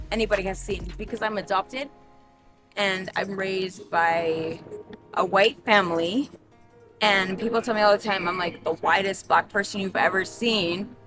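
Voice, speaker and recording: A woman's voice: mid-range voice, steady tone, normal speed. Twenties woman talking candid, says like a lot, sounds far off, music playing. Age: 20s. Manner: candid, many "like" fillers. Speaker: interviewee. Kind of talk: street interview answer.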